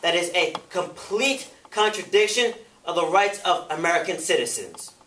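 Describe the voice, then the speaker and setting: Defiant male activist on the witness stand played by a man in a dramatic recital, indignant, oratorical, forceful, echoey. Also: high voice, expressive, normal speed, voice pushed up. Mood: firm, precise. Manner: emphatic, oratorical, challenging. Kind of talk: dramatic prose interpretation. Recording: echoey room, picked up from far off.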